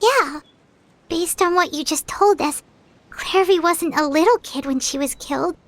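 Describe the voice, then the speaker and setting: A tiny floating fairy companion chirps in a very high, bright voice. She is excitable and insistent, referring to herself in the third person like a game sidekick. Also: a little slow, dry recording. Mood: curious.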